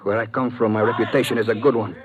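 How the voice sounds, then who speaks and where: Low-voiced, formal gunman. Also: fairly even intonation, quick pace, husky, phone-quality sound. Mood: coldly formal. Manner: formal, clipped commands. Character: short, heavy foreign gunman, menacing but formally courteous. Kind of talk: 1940s-50s radio drama acting.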